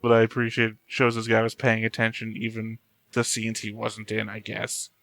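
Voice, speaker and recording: Low, even-toned man reacting casually. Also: normal speed, no echo. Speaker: reaction host. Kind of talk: casual off-the-cuff commentary.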